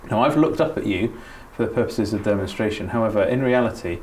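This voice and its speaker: Low-voiced man teaching a practical skill. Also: lively intonation, fast, a little hiss or hum. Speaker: doctor giving a training demonstration. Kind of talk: spoken practical instruction.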